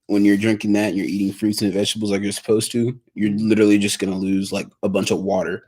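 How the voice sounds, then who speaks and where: A teenage boy with a fairly low voice talks casually. He rambles and keeps asking "right?". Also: little pitch movement, fast, warm tone. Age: teens. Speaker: son of the host. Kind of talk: casual chat.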